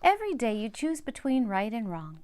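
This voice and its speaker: A woman's voice: on the high side, very animated, normal speed, darkish tone. An older woman reads a children's Bible story aloud, speaking directly and simply to young listeners.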